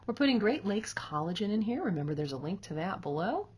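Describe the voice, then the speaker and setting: A woman's voice: mid-range voice, big pitch swings, fast, darkish tone, slightly rough. A woman in her thirties hosting a casual kitchen demonstration, chatty and upbeat, filmed in a home kitchen with a camera on the counter. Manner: chatty, upbeat, explaining as she goes. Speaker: host. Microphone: camera at counter distance.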